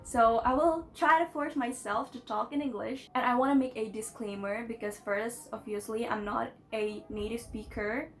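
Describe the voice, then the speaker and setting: Young woman, Indonesian accent, casual vlog chat, higher voice, giggly and rambling, faint music behind. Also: fairly even intonation, normal speed, hardly any pauses. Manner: chatty, giggly, many fillers like "like" and "you know". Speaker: vlogger.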